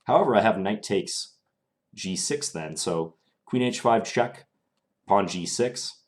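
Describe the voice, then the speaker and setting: Low male voice, young adult, live chess stream commentary, casual thinking aloud, slightly strained. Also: slow. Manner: relaxed, thinking aloud with many fillers.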